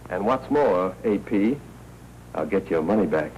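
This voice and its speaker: An actor playing a brisk, commanding American crusading newspaperman in a 1940s crime film. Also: deep voice, animated, a little mellow. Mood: determined. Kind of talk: 1940s Hollywood film acting. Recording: dull, noisy old film soundtrack.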